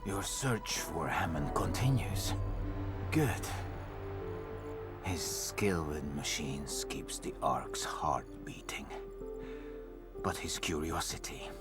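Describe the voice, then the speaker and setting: A man voicing a grave, solemn old leader of survivors in a video game, with halting delivery. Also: very deep voice, over loud music. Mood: solemn, pleading. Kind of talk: video game character acting.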